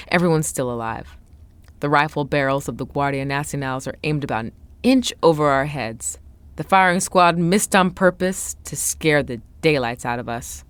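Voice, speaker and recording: A woman's voice: sits low, clear, resonant tone, dry recording, lightly acted. A woman voicing a frightened male baseball player in a dramatic, suspenseful first-person audiobook reading.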